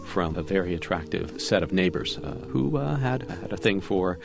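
A Cuban-born man with no accent in English, a musician answering radio interview questions in a relaxed storytelling way with small restarts. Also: low-pitched, normal speed, vocal fry. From Cuba. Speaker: musician guest. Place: remote studio.

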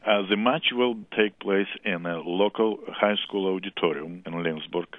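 A man from Russia with a Russian accent, a chess school director talking earnestly in halting English as an interview guest. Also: sits low, no echo. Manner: earnest, halting non-native English with some laughter. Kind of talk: phone interview. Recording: tinny phone line.

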